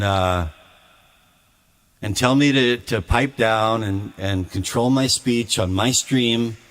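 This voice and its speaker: A man streaming live while making music, talking casually to his chat in a rambling, aggrieved way with lots of stammered restarts. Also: medium pitch. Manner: grumbling, aggrieved.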